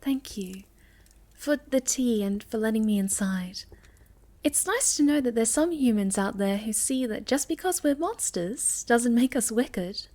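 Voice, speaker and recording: A woman playing a sweet, shy, gentle moth-girl monster in a soft, halting ASMR roleplay, often slipping toward a whisper. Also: fairly high pitch, lively intonation. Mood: cozy, shy.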